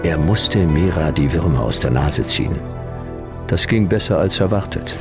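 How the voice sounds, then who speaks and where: An actor playing a laconic, dryly ironic private detective in a radio drama, terse and deadpan. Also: male voice, normal speed, over loud music. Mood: terse narration. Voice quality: deep, gravelly. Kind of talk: noir radio drama narration and dialogue.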